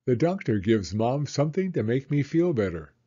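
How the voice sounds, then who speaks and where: An older man, a longtime teacher, reading a children's picture book aloud in a gentle, expressive storytelling voice. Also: mid-range voice, moderate pace, breathy edge, muffled recording. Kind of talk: children's read-aloud narration.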